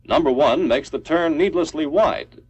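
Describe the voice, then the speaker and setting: A man's voice: on the high side, normal speed, a little mellow, breathy edge, no room sound, muffled recording. An American man reading scripted military training film narration in a clipped, instructional manner. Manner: instructional, clipped declarative delivery.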